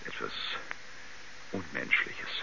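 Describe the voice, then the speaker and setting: An actor playing a folksy, skeptical neighbour man with a halting, dismissive way of talking in a radio drama. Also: bright, forceful tone, poor audio. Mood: hesitant, chatty. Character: folksy, skeptical male neighbour, chatty and hesitant. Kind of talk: 1970s German radio drama acting. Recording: hissy, band-limited 1970s radio recording.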